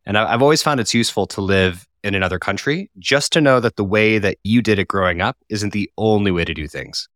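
A man's voice: fairly low pitch, brisk, hardly any pauses, fairly bright tone, no room sound, clean studio sound. A man from Colorado hosting a podcast, reading an upbeat intro and asking friendly questions. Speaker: podcast host.

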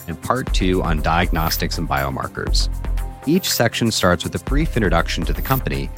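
A man hosting a podcast, reading scripted intros and then interviewing a guest in an upbeat, measured way. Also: low voice. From Colorado, US. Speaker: podcast host.

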